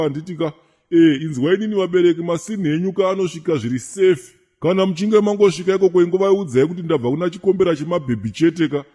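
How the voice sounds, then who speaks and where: A man with a Zimbabwean accent voicing a boastful, swaggering cartoon millionaire pitching in slangy sales patter. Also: warm tone. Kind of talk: comic cartoon advertisement.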